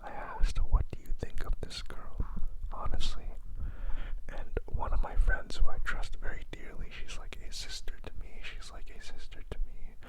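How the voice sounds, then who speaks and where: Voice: moderate pace, low-quality recording. A person whispering a rambling, hesitant personal confession, sounding sad and downcast and often repeating themselves. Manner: whispering throughout.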